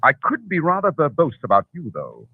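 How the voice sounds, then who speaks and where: A man's voice: on the high side, huge pitch swings, moderate pace, husky, dry recording, exaggerated voice. An actor in an old radio drama playing a shrewd, aloof, sardonic man, speaking in a clipped, coolly precise way. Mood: incisive. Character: shrewd, aloof, sardonic male detective posing as a crook. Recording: tinny lo-fi vintage radio recording.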